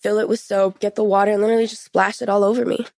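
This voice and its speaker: Female, late teens, podcast guest. Frank, informal teen talk, mid pitch, close dry sound. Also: flat delivery, racing, vocal fry, darkish tone, no echo. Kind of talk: personal interview, recounting experiences.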